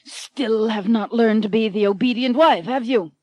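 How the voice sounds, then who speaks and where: A woman's voice: on the high side, normal speed, warm tone, no room sound, close to an everyday voice. A woman playing a brutal snarling duke. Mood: menacing. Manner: snarling, contemptuous. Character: brutal jealous male duke, domineering husband.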